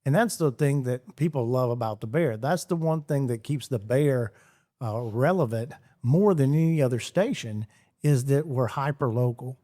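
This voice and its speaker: A man's voice: medium pitch, normal speed, warm tone, dry recording, studio quality. A man from Georgia, a radio DJ chatting casually in an interview, rambling and repeating his words. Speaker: radio DJ guest.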